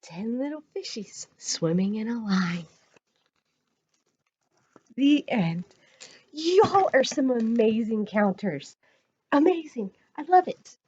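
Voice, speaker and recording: Casting: American woman as children's storytime reader, very slow and encouraging, widely swooping pitch, dull lo-fi sound. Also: warm tone, husky, no echo. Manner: sing-song, encouraging, addresses children directly. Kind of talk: children's read-aloud and counting rhymes. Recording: dull lo-fi audio.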